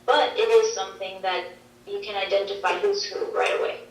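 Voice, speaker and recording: A woman whose first language is Swedish explains linguistics on a video call, measured and full of examples. Her voice comes from a wall screen across the room, distant and echoey. The pitch sits in a middle range. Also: steady tone. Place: played through a wall screen in a dim room. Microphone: video call heard over speakers. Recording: roomy, distant playback from a screen.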